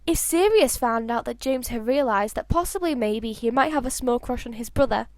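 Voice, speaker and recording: A woman's voice: on the high side, normal speed, darkish tone, no room sound. A woman narrating a story like an amateur audiobook, reading expressively with the occasional stumble over words. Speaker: fan fiction narrator.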